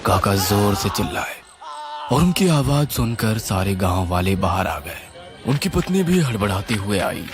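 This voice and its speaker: A man's voice: fairly low pitch, big pitch swings, unhurried. A man narrating a scripted horror story in a suspenseful, theatrical way. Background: music.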